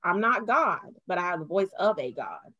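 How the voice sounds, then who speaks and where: A young adult woman, a podcast guest, talking earnestly in a casual chat and turning animated when she laughs. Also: on the low side, normal speed, dry recording, muffled recording.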